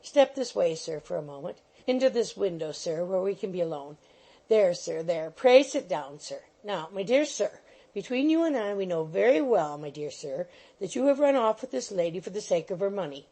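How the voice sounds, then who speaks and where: A woman's voice: mid-range voice, very animated, normal speed, warm tone, poor audio. A woman voicing a fussy, bustling little male attorney who coaxes and keeps saying "my dear sir". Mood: wheedling. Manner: coaxing, repeats "my dear sir".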